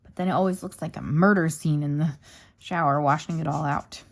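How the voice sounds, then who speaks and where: A young woman chats to her viewers while she colors, her voice sitting a little low and turning slightly hoarse. She rambles easily from one thought to the next in a casual, unscripted way. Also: fairly even intonation, brisk. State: losing her voice. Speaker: craft YouTuber. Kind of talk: casual chatty craft vlog.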